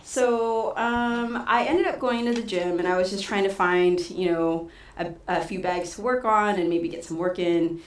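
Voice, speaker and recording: A woman who coaches and promotes boxing, telling her story in a soft-spoken, casual way. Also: medium pitch, normal speed. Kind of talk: casual interview answers. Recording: echoey room.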